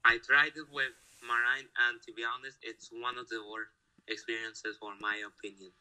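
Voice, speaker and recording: A man chatting while playing a strategy game with a friend, hesitant and halting, restarting his sentences often. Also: medium pitch, level tone, bright, forceful tone, low-quality recording. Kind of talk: casual gaming chat.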